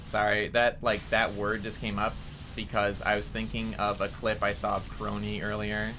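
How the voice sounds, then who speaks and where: A man's voice: on the low side, level tone, normal speed, pushed and bright, soft background music. A young man with a nasally voice, rambling casually to his chat as a streamer while playing a game. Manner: rambling, chatty, answering chat.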